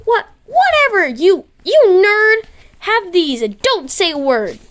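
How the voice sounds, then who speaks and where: A woman's voice: very high voice, sing-song, takes it slowly, voice pushed up, full character voice. A woman voicing a brash, grumpy, defensive girl in an amateur story reading, blunt and scoffing. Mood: flustered, defiant. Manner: blunt, scoffing, easily flustered.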